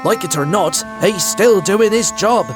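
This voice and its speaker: A man's voice: sits high, measured pace. A man playing a sensible, resigned talking engine in a cartoon dub. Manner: reasoning, resigned. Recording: music playing behind.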